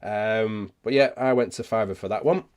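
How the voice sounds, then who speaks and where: A man hosting a casual live question-and-answer session, speaking haltingly and often rephrasing himself as he goes. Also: on the low side, a little mellow, smooth. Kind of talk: casual livestream chat, answering viewer questions.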